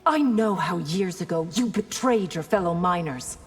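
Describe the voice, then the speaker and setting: A woman's voice: on the low side, lively intonation, normal speed, breathy edge. A woman playing a righteous, disdainful warrior princess in a video game, speaking formally and resolutely in condemnation. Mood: stern disdain. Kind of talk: video game drama acting. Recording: long hall reverb, music behind.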